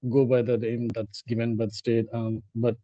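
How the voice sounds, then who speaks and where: A man talks through work details in an informal training meeting. His voice is mellow, with a polite, halting delivery that often repeats phrases. The recording is dry, dull and lo-fi. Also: mid-range voice, completely flat delivery, no echo. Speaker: staff member in a training meeting.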